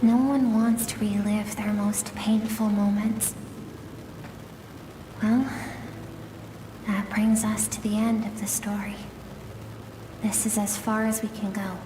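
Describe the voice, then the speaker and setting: An actress playing a grave, sorrowful woman in a video game, recounting a tragic past in measured, somber tones. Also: medium pitch, little pitch movement, background noise, close to an everyday voice. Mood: somber, bitter. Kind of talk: solemn dramatic storytelling. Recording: echoey long reverb with game music behind.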